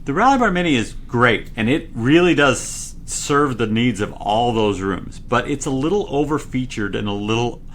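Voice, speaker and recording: A man, a product engineer, explaining products in a conversational interview, excitable and loud when he gets going, with many restarts. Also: mid-range voice, normal speed, few pauses, slight background noise. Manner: frequent fillers and restarts.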